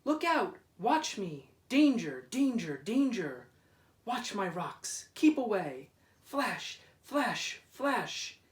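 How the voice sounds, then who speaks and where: A man's voice: sits high, big pitch swings, slow pace, heavily acted. A man voicing a small, childlike, self-important lighthouse in a children's storybook, with emphatic delivery. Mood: urgent, commanding. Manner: emphatic, exclamatory short calls. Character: proud little lighthouse, childlike, self-important, easily worried. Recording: roomy echo, distant microphone.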